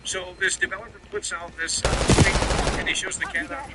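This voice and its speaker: A man's voice: high voice, level tone, moderate pace, very noisy. A man hosting a livestream in casual, opinionated, rambling monologue with some swearing as he plays a game. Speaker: livestream host. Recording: loud game music and gunfire behind. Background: video game music, gunshots, vehicles.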